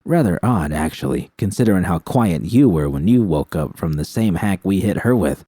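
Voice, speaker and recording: A man narrating an audiobook puts on a menacing male gang boss. The voice is deep and shadowy, with a close, dry sound. He leers and mocks, drawing out his sneering taunts. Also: lively intonation, mellow, dry recording. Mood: mocking. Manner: taunting, sneering, drawn-out talk. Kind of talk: audiobook character dialogue.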